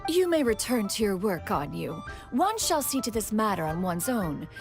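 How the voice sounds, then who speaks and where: A woman's voice: mid-range voice, sing-song, moderate pace, music in the background. A woman voicing a haughty but doting elder mentor in a fantasy game, with refined, formal, archaic diction. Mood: haughty, composed.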